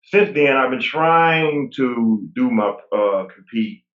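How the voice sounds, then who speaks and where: A man's voice: mid-range voice, takes it slowly. A man in his forties, a guest bodybuilder, talking casually and rambling with many restarts. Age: 40s. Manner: relaxed, rambling with frequent restarts. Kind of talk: casual interview talk. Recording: tinny phone-like line, roomy echo.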